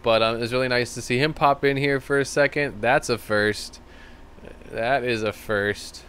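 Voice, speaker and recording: A man with a slightly rough, tired voice hosting a live stream, rambling casually and thanking viewers by name. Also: mid-range voice, steady tone, normal speed, a bit bright, slight background noise. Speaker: livestream host. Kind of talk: casual live-stream chat reading comments.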